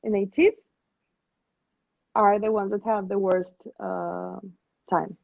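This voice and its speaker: A woman from Mexico with a Mexican accent, a guest in a casual conversation, pausing to search for her words. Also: mid-range voice, fairly even intonation, vocal fry, no room sound, lo-fi sound. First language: Spanish. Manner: thoughtful, searching for words with fillers.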